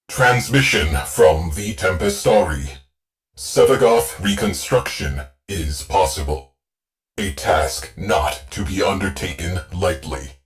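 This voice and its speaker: A man voicing a terse male ship AI in a video game, speaking in clipped, deadpan military phrases. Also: low-pitched.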